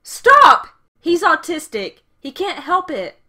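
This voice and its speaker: A woman's voice: very high voice, huge pitch swings, slow, pushed and bright, full character voice. A woman playing an urgent, protective member of a criminal crew in an audio drama, with a pleading manner.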